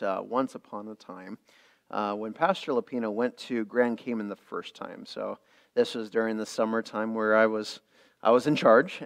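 A male pastor preaching a midweek sermon, earnest, repeating phrases and restarting lines. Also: medium pitch.

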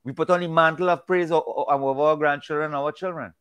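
A man's voice: fairly high pitch, fairly even intonation, fairly quick, a bit bright, smooth. Older Trinidadian man, rambling. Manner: rambling, repeats words, folksy. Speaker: discussion guest. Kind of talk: casual faith discussion. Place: indoors at home, virtual backdrop. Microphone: video call webcam.

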